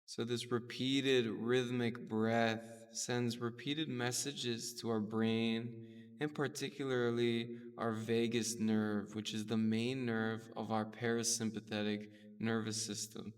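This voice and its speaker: Slow, smooth-voiced man guiding meditation. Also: mid-range voice, level tone. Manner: gentle, soothing, unhurried instruction. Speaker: meditation guide. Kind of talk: guided meditation narration. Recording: distant mic in a reverberant space.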